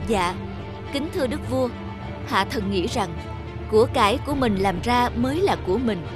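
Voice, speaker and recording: Woman playing humble young father, steadfast, earnest, high voice, cartoon fairy tale, clear background music. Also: expressive, moderate pace, close to an everyday voice. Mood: respectful, firm. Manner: earnest, respectful.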